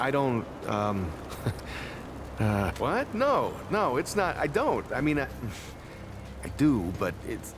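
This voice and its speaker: An actor playing an earnest, bashful settlement mayor in a video game, talking in a hesitant, stammering, naturalistic way over ambient sound. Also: male voice, medium pitch. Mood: flustered, bashful.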